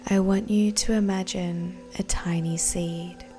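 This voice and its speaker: A woman guiding a meditation in a soothing, deliberate, breathy voice that often nears a whisper. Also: lowish voice, steady tone. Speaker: meditation guide. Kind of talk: guided meditation narration. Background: soft background music.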